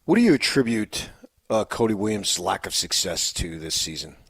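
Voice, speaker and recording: Lowish-voiced male sports radio host. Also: sing-song, normal speed, a bit bright, husky, no echo. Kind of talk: conversational interviewing.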